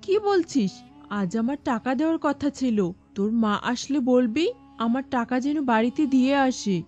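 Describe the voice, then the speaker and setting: A woman's voice: high voice, expressive, moderate pace, a little mellow, faint music behind. A woman voicing a stern, pushy money-lending pigeon in a children's cartoon, curt and bossy, on dull audio. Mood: demanding.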